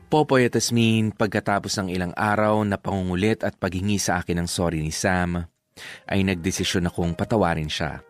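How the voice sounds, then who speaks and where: A man's voice: deep voice, fairly even intonation, a little fast, faint music behind, natural voice. An actor playing an earnest young man narrating his own love story in a radio drama, reflective, with a Filipino accent. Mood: reflective, sincere. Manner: sincere, reflective. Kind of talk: first-person radio drama letter narration.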